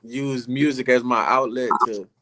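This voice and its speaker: A man's voice: medium pitch, steady tone, measured pace, pushed and bright. A middle-aged man from Illinois, a guest rapper telling his story in a casual, profane, rambling way on a podcast. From Illinois, North Chicago area.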